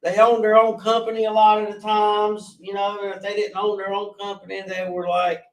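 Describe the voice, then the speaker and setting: A man in his forties from North Carolina speaks in a loud, fairly high voice, telling his life story earnestly with plenty of restarts. He sits back from the mic in a roomy, echoing space. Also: monotone, moderate pace, distant mic. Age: 40s. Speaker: podcast guest.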